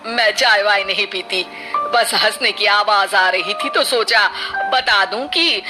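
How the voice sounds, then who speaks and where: Woman acting a slow, haughty mother-in-law. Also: medium pitch, music in the background, close to an everyday voice, low-quality recording. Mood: haughty, jealous. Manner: haughty, showy, drawn-out speech. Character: boastful, jealous, lonely elderly mother-in-law. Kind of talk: cartoon family drama acting.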